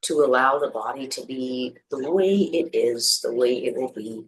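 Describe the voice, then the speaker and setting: A meditation teacher guides listeners through a practice in a medium-pitched voice. The delivery is gentle and soothing, with space left between instructions. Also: unhurried, poor audio.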